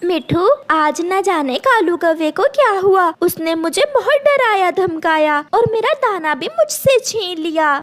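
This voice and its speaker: A woman's voice: extremely high pitch, lively intonation, a little slow, few pauses, voice pushed up. A woman voicing a meek little female sparrow in a children's cartoon, timid and pleading. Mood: upset. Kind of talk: Hindi children's cartoon voice acting.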